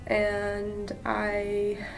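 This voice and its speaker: A woman from Jordan sharing her personal story as a podcast guest, earnest and halting with frequent restarts. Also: medium pitch, monotone, creaky. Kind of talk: personal testimony. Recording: distant mic with music behind.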